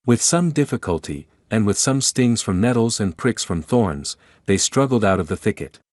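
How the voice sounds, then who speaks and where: A man narrates a storybook from a script. His voice sits fairly low. The recording is dry, with no room sound. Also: big pitch swings, moderate pace, a little mellow, no room sound.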